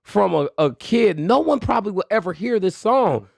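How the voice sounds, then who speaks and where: A man with a noticeable fry in his voice, debating casually in slangy phrases. He sounds skeptical and insistent, and the recording is close and dry. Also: sits high, sing-song, normal speed, no echo. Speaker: podcast panelist.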